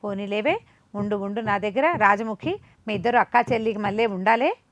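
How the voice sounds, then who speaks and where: Gentle, indulgent queen voiced by a woman. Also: mid-range voice, expressive, fairly quick, natural voice. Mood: soothing. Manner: soft-hearted, indulgent. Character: gentle, forgiving queen soothing her maids.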